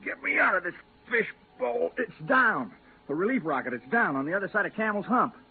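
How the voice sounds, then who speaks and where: An eager crewman longing for home in fifties science fiction radio. His voice is creaky and somewhat high. The recording has a narrow, boxy sound. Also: sing-song, a little fast, noisy background, heavily acted. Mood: excited. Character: eager, homesick male space crewman. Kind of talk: 1950s radio drama acting.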